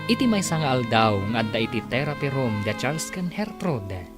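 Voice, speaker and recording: A man's voice: mid-range voice, big pitch swings, brisk. A man narrating a scripted radio drama as its announcer, in a dramatic, declamatory manner. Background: music.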